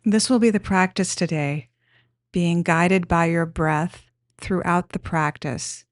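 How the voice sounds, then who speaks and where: A woman leading a guided meditation with gentle, unhurried, simple instructions. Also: on the low side, no echo, clean studio sound.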